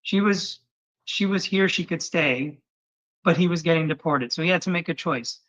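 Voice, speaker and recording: A man whose first language is Portuguese, speaking in a loose, heartfelt, rambling way. His voice is bright and forceful. The sound is somewhat dull and lo-fi. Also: fairly high pitch, moderate pace. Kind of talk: casual chat. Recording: slightly dull lo-fi recording.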